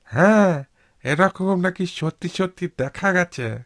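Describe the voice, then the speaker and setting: Man acting a slow, sly old peasant. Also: high-pitched, lively intonation, a bit bright, soft background music, voice pushed up, exaggerated voice. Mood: sly, coaxing. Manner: slow, drawling, feigned innocence. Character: sly old peasant husband, poor and cautious. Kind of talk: cartoon storybook character voice.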